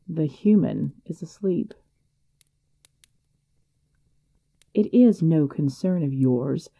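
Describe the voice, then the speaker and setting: A woman voicing a commanding female vampire clan leader, possessive and tender, in a dark, solemn ASMR roleplay, often dropping into a whisper. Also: deep voice, vocal fry, heavily acted. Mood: cold, haughty.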